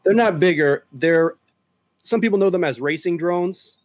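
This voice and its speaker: A man chatting casually in an interview over a video call, explaining at length in a relaxed way. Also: sits high, normal speed, dry recording. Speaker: drone filmmaker guest.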